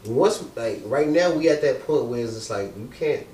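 A man's voice: medium pitch, expressive, moderate pace, warm tone. Twenties man, emphatic and slangy, distant mic in a roomy space with faint noise. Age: 20s. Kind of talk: casual group chat.

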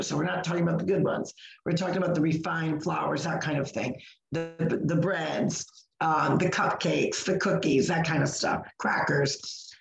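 A hoarse woman, co-hosting a chatty podcast and often correcting herself mid-sentence. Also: lowish voice, echoey room, low-quality recording. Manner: rambling, self-correcting. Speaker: co-host of a wellness podcast. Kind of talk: casual chat.

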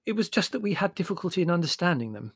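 A man's voice: high voice, big pitch swings, racing, vocal fry, fairly bright tone, breathy edge, no echo, clean studio sound, voice pushed up, full character voice. A man voicing a genteel English mother, polite and proper, firm yet a little flustered, in a storybook reading.

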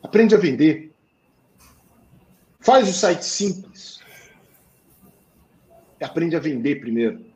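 Man, Portuguese accent, blunt slangy delivery, animated swings, slightly high pitch. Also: slow, long pauses, poor audio. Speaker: sales coach for accountants. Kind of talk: live talk show advice.

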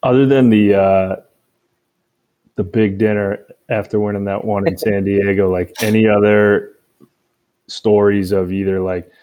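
A man acts as interviewer with a fairly low voice. His tone is dark and relaxed. He puts his questions casually, in an everyday conversational way. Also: dull audio.

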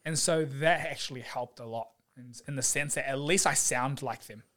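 Young kiwi guy, chill chatty podcast guest, mid pitch, laughs a lot. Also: normal speed. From New Zealand. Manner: relaxed, chatty, laughs easily, lots of fillers. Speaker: guest, physiotherapist. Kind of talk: casual chat.

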